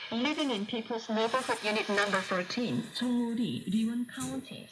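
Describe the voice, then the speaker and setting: A woman reading scripted news as a radio announcer, formal and solemn with deliberate phrasing, heard over shortwave. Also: mid-range voice. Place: radio broadcast studio, received over shortwave. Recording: noisy shortwave radio reception.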